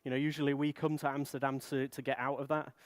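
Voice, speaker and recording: A man's voice: medium pitch, little pitch movement, quick pace, no echo. A man in his forties with a bad cold, sounding nasal as he gives a technical conference talk, earnest with light jokes. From Britain. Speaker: conference speaker. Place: conference stage.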